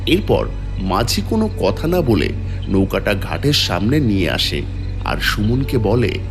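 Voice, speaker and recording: A man's voice: fairly low pitch, very animated, unhurried, over music. A man narrating a scripted horror story in a dramatic storytelling style.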